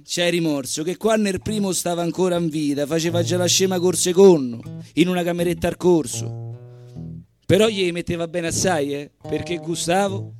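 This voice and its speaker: A man with a Roman accent giving an expressive, theatrical live recital of comic verse in dialect. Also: high-pitched. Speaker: stage performer. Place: live stage at a social centre event. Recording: live evening show recording with music.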